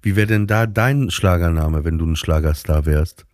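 A man co-hosting a podcast, chatting casually in a halting, rambling way and often interrupting himself. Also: low-pitched, darkish tone, no echo, studio quality. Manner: halting, stumbling, self-interrupting. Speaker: podcast co-host.

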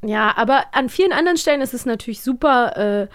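A young woman with a slightly high voice co-hosts an audio drama podcast in a loose, chatty way. She gives her opinions freely and laughs often as she talks. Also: animated, fast talker, crowd noise. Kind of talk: casual chat.

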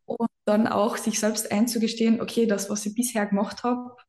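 A woman's voice: on the high side, moderate pace. Carinthian woman in an echoey room. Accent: Carinthian Austrian German. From southern Austria. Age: 30s. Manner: chatty, self-deprecating, lively storytelling. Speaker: physiotherapist guest. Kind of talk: casual podcast interview.

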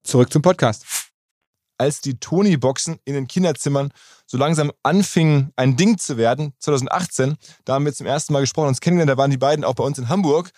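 Fast-talking man hosting a podcast. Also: medium pitch, a little mellow, no room sound, studio quality. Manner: chatty, informal. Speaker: podcast host. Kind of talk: casual podcast talk and interview, with host-read ad.

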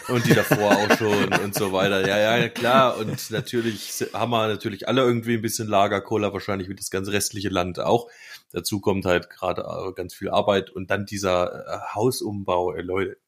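A man with a fairly low voice co-hosts a podcast. He talks in a loose, colloquial way, using plenty of slang in casual chat. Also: flat delivery, normal speed, a bit bright. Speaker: podcast co-host.

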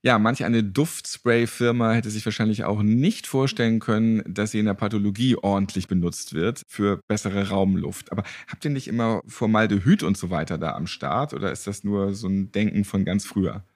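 A man's voice: fairly low pitch, normal speed, hardly any pauses, a little mellow, no echo, clean studio sound. A man hosting a podcast in a colloquial, playful and flippant way, chatting casually and asking questions. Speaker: podcast host. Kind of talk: casual, jokey interview questions and podcast announcements.

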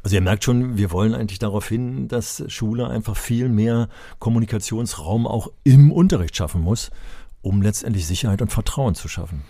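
An older man with a low, mellow voice co-hosts a podcast conversation and argues with emphatic passion. His voice sits close and dry on the mic, with no room sound. He grows more insistent as he makes his case. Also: fairly quick, dry recording. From Germany (Berlin). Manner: impassioned, emphatic when angered, rhetorical. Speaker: podcast co-host, education advocate.

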